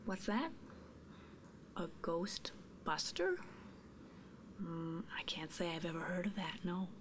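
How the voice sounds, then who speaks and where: A woman performing an ASMR roleplay in a soft, breathy whisper, gently inquisitive and murmuring, close to the microphone. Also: on the low side, very animated, slow, noisy background. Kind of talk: whispered ASMR roleplay as a ghost removal technician.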